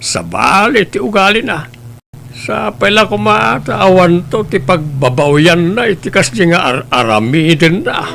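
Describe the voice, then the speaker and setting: A man playing a gravelly, stern old father. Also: high voice, animated, a bit bright, full character voice. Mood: concerned. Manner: reproachful, slow and weighty. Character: stern elderly father scolding his grown daughter. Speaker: voice actor. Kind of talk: family radio drama.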